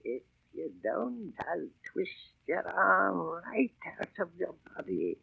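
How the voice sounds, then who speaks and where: An old blind beggar pirate from a thin 1930s radio play. The voice is high and slow, drawing out pitiful pleas that can turn to threats. It is creaky and sinister. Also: male voice, big pitch swings, narrow, tinny audio, full character voice. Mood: menacing. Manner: theatrical, drawn-out wheedling turning to hissed threats. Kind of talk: 1930s radio drama acting. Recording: thin, band-limited 1930s radio recording.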